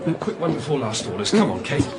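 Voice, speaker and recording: Very animated young stage actor. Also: male voice, mid-range voice, fast, creaky, crowd noise. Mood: coaxing, flustered. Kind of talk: BBC radio drama acting. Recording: noisy crowded pub behind.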